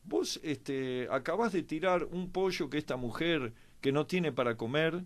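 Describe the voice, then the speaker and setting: Halting Argentine man telling anecdotes. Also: fairly high pitch, lively intonation. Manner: halting, with frequent pauses and filler words. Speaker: guest commentator on a history and politics programme.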